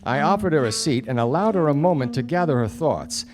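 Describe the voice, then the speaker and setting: A man playing a wry, patient 1940s detective in a live radio play, narrating his case in a hard-boiled deadpan. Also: fairly high pitch, a little fast, music in the background, lightly acted. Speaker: actor playing the lead and narrator. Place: theatre stage before a studio audience.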